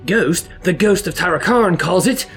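A man's voice: sits high, expressive, moderate pace, fairly bright tone, faint music behind. A man delivering scripted narration as a narrator.

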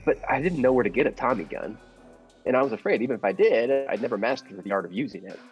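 Meek, fussy little husband for a radio comedy, acted by a man. Stammering, formally polite, husky and very animated. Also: mid-range voice, fast. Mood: flustered, apologetic. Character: timid, henpecked little husband, nervously earnest. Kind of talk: old-time radio comedy play acting. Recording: dull lo-fi audio with music behind.

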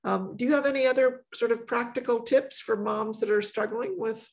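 A middle-aged woman hosting a podcast, talking in a relaxed, chatty way. Her voice has a gravelly edge. She keeps stopping and restarting her sentences and fills the gaps with words like "you know.". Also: on the high side, moderate pace. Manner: chatty, many fillers and restarts. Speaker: podcast host. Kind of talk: casual conversation.

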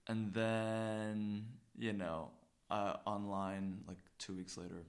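Man, podcast co-host, lowish voice, excitable, slangy, repetitive, casual storytelling. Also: slow pace. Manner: excitable, slangy, repeats himself. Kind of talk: casual chat, animated storytelling.

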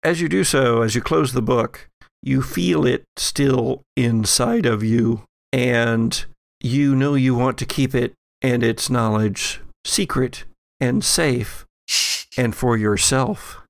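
A man narrates a horror tabletop game as its game master, pausing thoughtfully as he goes. His voice is medium in pitch with a heavy gravelly fry. He speaks very slowly, and the sound is dry and close. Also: no echo.

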